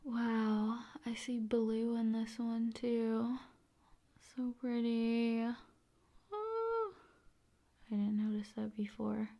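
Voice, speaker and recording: Slow, whispery woman doing soft ASMR. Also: a little high. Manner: unhurried, soothing delivery with drawn-out phrases. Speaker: ASMR video creator.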